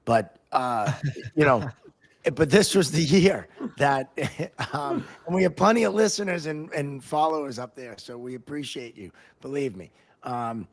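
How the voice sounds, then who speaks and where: A man hosting a podcast, chatting casually about hockey in a loose, halting way as he thinks aloud. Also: medium pitch, animated. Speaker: podcast host. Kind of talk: casual sports chat.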